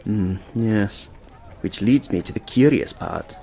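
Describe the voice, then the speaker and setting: An actor playing a measured, inquisitive Victorian lawyer in a stage drama, formal, deliberate and dryly witty. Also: male voice, fairly low pitch, gravelly, dark tone, a little hiss or hum, rough recording. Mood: thoughtful, intrigued. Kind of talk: theatrical stage drama acting.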